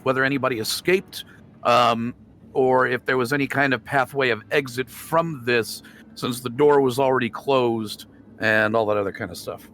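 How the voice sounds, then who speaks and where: A man talks casually as a player at a tabletop game, thinking aloud with halting pauses. His voice is mid-pitched and bright, with faint music underneath.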